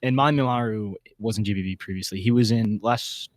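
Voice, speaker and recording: A man with a fairly low voice hosts a casual podcast chat. His talk is informal and enthusiastic, full of slang. The sound is dry and close, with hardly any room in it. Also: fairly quick, no room sound. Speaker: podcast host.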